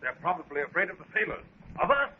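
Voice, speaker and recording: An actor playing a peevish, stubborn, jealous gentleman who talks petulantly and scoffs, in 1930s radio serial style. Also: high-pitched, sing-song, fast, breathy edge, heavily acted. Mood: peevish, scoffing. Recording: tinny, very noisy 1930s radio recording.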